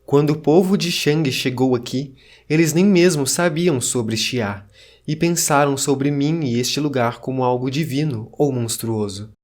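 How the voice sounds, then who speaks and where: A man's voice: medium pitch, unhurried, darkish tone, natural voice. A man playing an ancient survivor of a fallen dynasty, narrating in a solemn, formal storytelling manner. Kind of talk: scripted dramatic narration.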